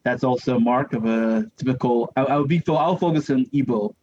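A man's voice: medium pitch, brisk, darkish tone, lo-fi sound, slight background noise. Nigerian-raised man, thoughtful podcast guest. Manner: thoughtful, searching for words, laughs easily. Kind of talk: conversational interview. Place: Berlin.

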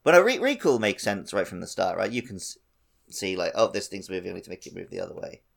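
A man's voice: low-pitched, sing-song, fast, gravelly. A man co-hosting a casual gaming podcast, talking conversationally and acting out his own thoughts as he goes. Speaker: podcast co-host. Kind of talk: casual game chat.

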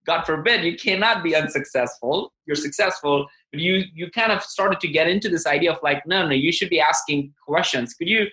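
Male podcast host: bright, forceful, slightly high voice, emphatic and conversational, recorded in an echoey room. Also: fairly quick, hardly any pauses. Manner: emphatic, acts out quoted remarks. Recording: echoey, reverberant room sound.

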